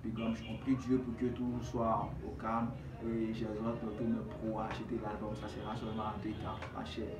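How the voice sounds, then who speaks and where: A man's voice: lowish voice, completely flat delivery, normal speed, hardly any pauses, distant mic, over music. A young man with an Ivorian accent, a rapper giving casual interview answers in street slang. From Ivory Coast. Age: young adult. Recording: echoey room, off-mic, clearly noisy.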